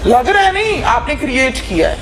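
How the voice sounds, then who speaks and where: A woman's voice: sits high, wildly swooping pitch, normal speed, low-quality recording. A woman questioning someone, persistent, sarcastic and scolding. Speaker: interviewer. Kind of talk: probing interrogation.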